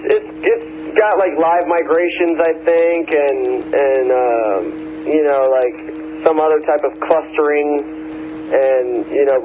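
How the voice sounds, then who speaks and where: Casual male radio operator, slightly high voice, slangy and easygoing, over a humming repeater link with light noise. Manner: laid-back slangy talk, often saying "man" and "you know". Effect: two-way radio transmission. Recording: noisy ham radio repeater audio, hum.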